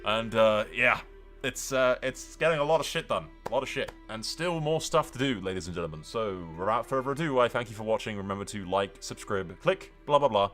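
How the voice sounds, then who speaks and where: A man hosts casual game commentary in a lively, swinging voice with a bright, forceful edge. He is informal and wry, swearing freely as he jokes along. Faint music plays underneath. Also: fairly low pitch, fairly quick. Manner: informal, sweary, wryly joking. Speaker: video game streamer, host.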